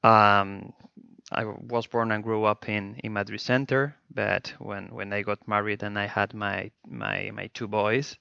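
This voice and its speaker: A man's voice: on the low side, flat delivery, unhurried, creaky, bright, forceful tone, smooth, dry recording, highs cut off. A middle-aged Spanish man with a Spanish accent, speaking English as a second language, hesitant with fillers and restarts as an interview guest. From Spain. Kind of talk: casual interview chat.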